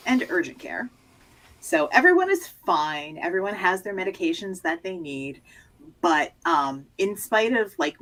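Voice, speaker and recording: A middle-aged woman chatting casually on a podcast, full of small restarts and trailing fillers. Her voice sits a little low, but her pitch swings widely and expressively from phrase to phrase. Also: a bit bright, low-quality recording. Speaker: podcast co-host. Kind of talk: casual chat.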